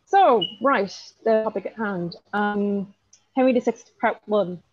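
A woman's voice: mid-range voice, unhurried, no room sound, muffled recording. A young woman giving a chatty, hesitant introductory talk as a guest lecturer, with many ums and restarts. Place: dim room at home, lamp behind. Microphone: webcam on video call.

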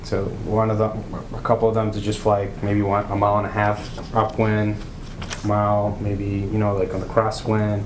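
A man's voice: lowish voice, completely flat delivery, normal speed. A man in his thirties explaining his work as an air traffic controller informally in a panel discussion. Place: small meeting room, around a conference table. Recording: echoey room, clear background room noise.

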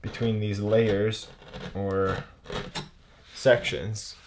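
Man teaching craft, casual and halting. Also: lowish voice, flat delivery, background noise. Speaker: woodcarving instructor. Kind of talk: casual hands-on craft demonstration. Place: indoor workshop.

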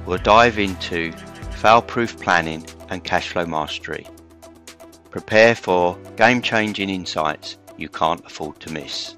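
A man with a very low, forceful voice reads a scripted podcast opening with upbeat promotional energy. Faint music plays underneath him. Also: unhurried. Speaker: podcast host. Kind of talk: scripted intro read.

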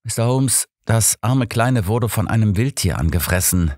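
A man's voice: lowish voice, lively intonation, normal speed, darkish tone, dry recording, studio quality, voice pushed up. A man voicing a courteous, sympathetic village doctor in an audiobook, polite and explanatory. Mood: earnest. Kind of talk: audiobook character dialogue.

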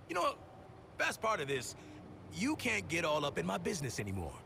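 Cocky male antihero for a game: taunting, slangy, lively pitch, clear ambient noise. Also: moderate pace, exaggerated voice. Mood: mocking. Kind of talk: video game voice acting.

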